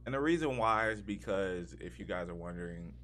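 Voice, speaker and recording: Guy with a really low voice chatting on a gaming podcast, kinda rambly, some hum and noise behind him. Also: animated, a little fast, a bit bright. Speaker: podcast host. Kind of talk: casual gaming podcast discussion.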